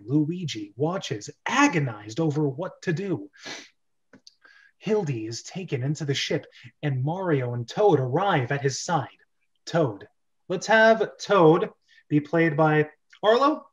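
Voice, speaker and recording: A man's voice: fairly high pitch, expressive, measured pace. A man narrating stage directions in a casual amateur script reading, breaking off into chatty asides. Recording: dull, lo-fi voice recording.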